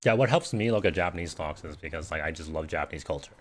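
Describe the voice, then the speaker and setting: A man's voice: very deep voice, quick pace, a little hiss or hum. A man whose first language is Cantonese, hosting a casual livestream Q&A and talking in a rambling way with lots of fillers and restarts. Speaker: investing livestream host. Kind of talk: casual live Q&A chat.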